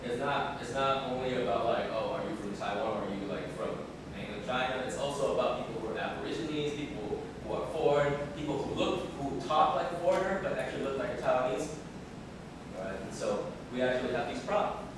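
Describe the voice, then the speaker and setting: A man gives a casual, animated talk to debate students and keeps asking them questions. His voice is middle-pitched. It is recorded from far off in a very echoey room with clear background noise. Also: fairly quick. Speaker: debate coach.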